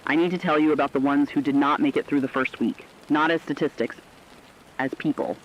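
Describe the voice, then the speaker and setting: A man narrating scripted human stories for a radio broadcast in a solemn, plain-spoken, deliberate manner. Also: a little high, fairly even intonation, muffled recording, a little hiss or hum.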